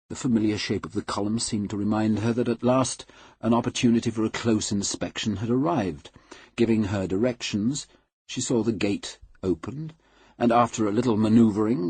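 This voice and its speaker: A man reading a classic novel aloud in a measured, unhurried and formal way. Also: lowish voice, a little mellow, husky, no echo. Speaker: audiobook reader. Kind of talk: scripted literary narration.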